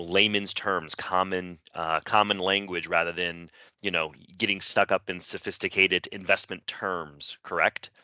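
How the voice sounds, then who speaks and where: A man's voice: low voice, pushed and bright, smooth, no room sound. A man co-hosting a finance podcast, asking long, framed questions and affirming his guest. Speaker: podcast co-host. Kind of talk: conversational podcast interview.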